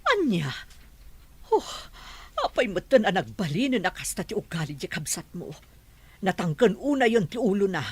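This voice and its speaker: A woman playing an expressive, anxious mother. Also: mid-range voice, normal speed, breathy edge. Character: caring middle-aged mother of the house. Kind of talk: Ilocano audio drama.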